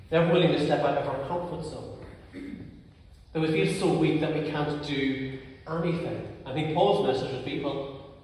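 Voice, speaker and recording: Earnest preacher with a stammer, echoey church. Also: male voice, on the high side, a little mellow, far from the mic, a little hiss or hum. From Northern Ireland. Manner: earnest, personal preaching with slow emphatic pauses. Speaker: clergyman. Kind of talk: sermon. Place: large church sanctuary, indoors. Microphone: far camera.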